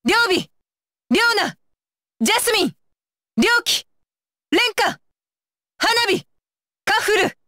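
A woman's voice: very high voice, lively intonation, a little slow, pauses often, bright, forceful tone, no echo, clean studio sound, exaggerated voice. A woman voicing a stern, dutiful young female ninja bodyguard for an anime game, with clipped, commanding and blunt phrasing. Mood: announcing, raised. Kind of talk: Japanese anime game voice lines.

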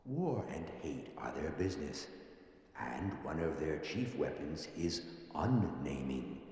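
Guy doing a super deep wise alien creature, slow and solemn, drenched in echo. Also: sing-song, a little mellow, heavily acted. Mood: grave. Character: wise otherworldly male creature mentoring a girl. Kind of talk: audio drama acting. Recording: echoey, long reverb.

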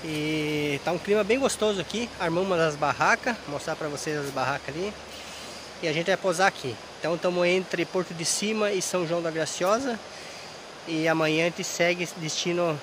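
Hoarse man vlogging by a river. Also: on the high side, moderate pace, background noise. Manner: chatty, addresses viewers directly. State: hoarse from a cold. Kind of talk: casual travel vlog. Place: outdoors at a riverside campsite. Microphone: action camera held at arm's length.

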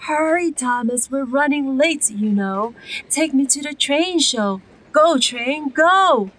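Storybook lady judge, played by a female reader: urging, exclamatory, high-pitched and lively. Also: slow pace, exaggerated voice, poor audio. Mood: urgent. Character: hurried, urging lady judge riding a train.

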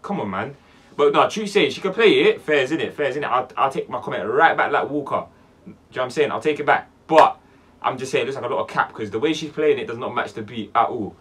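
A young man in his twenties with a London accent, hosting a music reaction video in casual slang-heavy banter, swinging from loud bursts to low mutters. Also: lively intonation, fast talker, husky. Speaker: music reaction channel host. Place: small dark room indoors.

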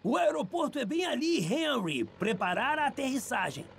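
High, creaky, sleepy cartoon airplane. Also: male voice, big pitch swings, normal speed, over music, full character voice. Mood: friendly. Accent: Brazilian. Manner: hesitant, flustered, polite. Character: sleepy, eager-to-please male airplane, friendly and dutiful. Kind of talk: preschool cartoon dubbing.

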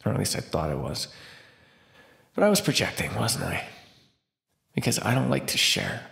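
A man's voice: fairly low pitch, wildly swooping pitch, a bit bright, full character voice. A man voicing a starving captive vampire in an immersive roleplay monologue, sardonic and flirtatious with a desperate edge. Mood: bleak, confessional. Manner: sardonic, teasing, breathy pauses and sighs. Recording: roomy, echoing sound.

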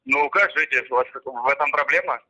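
Fast, bright-voiced man on a tinny phone line. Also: mid-range voice. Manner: insistent, formal, quick. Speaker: phone scammer posing as a company worker. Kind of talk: phone call.

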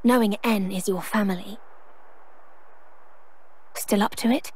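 A woman's voice: medium pitch, steady tone, unhurried, a little mellow, husky. A woman playing a perceptive, earnest young heroine in a video game cutscene, speaking thoughtfully. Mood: gentle, serious.

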